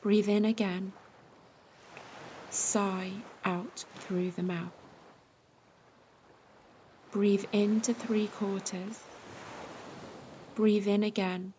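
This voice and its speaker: A woman guiding a relaxation meditation, speaking very slowly in a soft, soothing way with long pauses. Her voice is mellow and warm and stays almost level in pitch. Faint ocean waves wash in the background. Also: flat delivery, slight background noise. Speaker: yoga nidra guide. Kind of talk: guided meditation.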